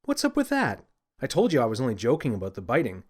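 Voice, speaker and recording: A man's voice: medium pitch, huge pitch swings, fast talker. A man reading as a naughty young boy, insistent and demanding, in a children's audiobook. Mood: indignant. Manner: indignant, bargaining, insistent. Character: cheeky, demanding, naughty little boy letter-writer.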